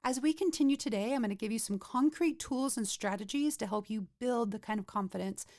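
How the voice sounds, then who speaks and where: A woman's voice: on the high side, brisk, darkish tone, no echo, clean studio sound. A woman hosting her own podcast, talking through a scripted episode in an upbeat, motivational and conversational way. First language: not Swedish. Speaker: podcast host.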